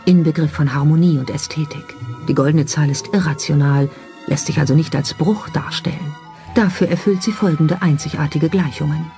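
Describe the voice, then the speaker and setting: Mellow documentary narrator over soft music. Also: medium pitch. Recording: music under the voice. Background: background music.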